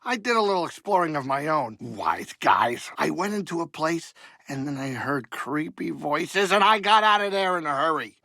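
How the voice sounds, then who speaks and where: A creaky, high-voiced gruff alien pilot. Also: male voice, lively intonation, moderate pace, bright, forceful tone, no room sound, studio quality, heavily acted. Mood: animated, eager. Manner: wisecracking, folksy, old-time wise-guy slang. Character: gruff, excitable, soft-hearted older alien pilot turned cantina cook. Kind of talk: video game character dialogue.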